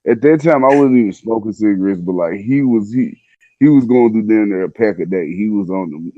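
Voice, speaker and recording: A man's voice: lowish voice, animated, brisk, no room sound, narrow, tinny audio. A man telling a personal story in casual slang, laid-back and conversational, acting out the dialogue as he goes.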